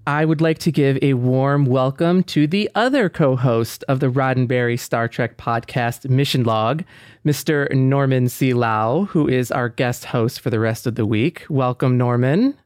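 A man's voice: medium pitch, unhurried, dry recording, studio quality. A man speaking conversationally as a podcast co-host.

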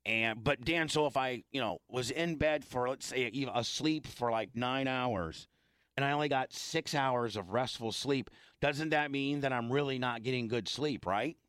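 A man hosting a radio show in casual banter, hoarse and rambling in stop-start bursts with self-deprecating asides. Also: medium pitch, normal speed, fairly bright tone, dry recording. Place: radio studio.

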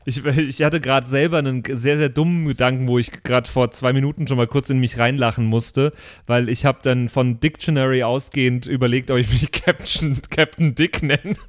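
A man chatting casually as a player on a tabletop role-playing show. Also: mid-range voice. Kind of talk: casual group chat.

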